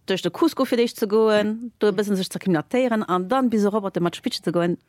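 A woman's voice: mid-range voice, very fast, no echo, studio quality. Chatty woman telling anecdotes. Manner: animated, laughing while telling anecdotes, many fillers. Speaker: interview guest. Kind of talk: casual storytelling.

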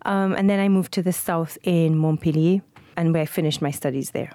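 Guest, female, forties, Trinidadian accent, lowish warm voice, dry close sound; relaxed storyteller. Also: brisk, no room sound. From Trinidad and Tobago. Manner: relaxed, fluent storytelling with soft fillers. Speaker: podcast guest. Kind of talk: casual interview.

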